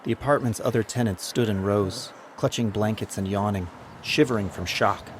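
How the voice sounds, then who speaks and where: A man's voice: fairly low pitch, normal speed, a little hiss or hum. A man narrating a dramatized audiobook in a scripted, dramatic storytelling style.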